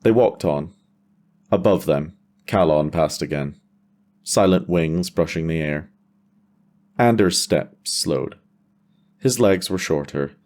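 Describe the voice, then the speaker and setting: A man's voice: very deep voice, darkish tone. A man delivering scripted, cinematic narration for a fantasy audio drama.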